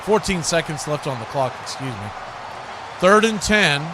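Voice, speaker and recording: A man's voice: a little high, lively intonation, moderate pace, crowd noise, noisy background. A man giving live play-by-play commentary on a football game.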